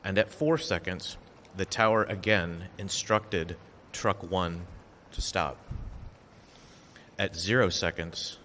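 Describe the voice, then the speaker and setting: A man reading a formal prepared statement as an official at a briefing, measured, factual and deliberate. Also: low-pitched, sharp tone, a little hiss or hum. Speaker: official giving an investigation briefing. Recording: long reverberant echo of a hall.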